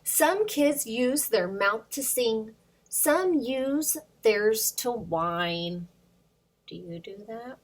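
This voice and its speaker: A woman's voice: on the high side, animated, extremely slow. A woman hosting storytime for young children, reading aloud expressively with sing-song emphasis and addressing questions to young listeners.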